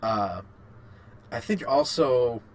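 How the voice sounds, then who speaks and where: A man's voice: medium pitch, expressive, takes it slowly. A man co-hosting a casual podcast chat about games and films, informal and a little sweary. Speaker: podcast co-host.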